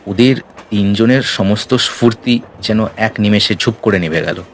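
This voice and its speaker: A man's voice: lowish voice, normal speed, slight background noise. A man reading a scripted horror story as narrator, in storytelling style. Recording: rain and ambience behind the voice. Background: rain sound effects.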